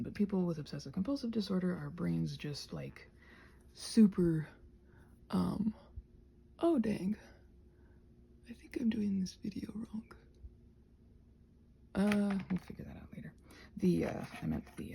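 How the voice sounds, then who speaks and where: A woman chatting casually and playfully while crafting, often interrupting herself with trailing asides, her voice breathy and often dropping to a near-whisper. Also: lowish voice, mellow, a little hiss or hum. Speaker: craft and ASMR video creator. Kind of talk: casual rambling vlog chat while crafting.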